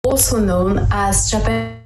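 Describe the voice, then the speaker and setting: A woman's voice: mid-range voice, takes it slowly, a little mellow, roomy sound, soft background music. A woman in her thirties from the south of France, with a French accent, giving a prepared presentation over a video call. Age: 30s. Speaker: guest speaker. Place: indoor room at home, on a video call. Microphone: video call microphone.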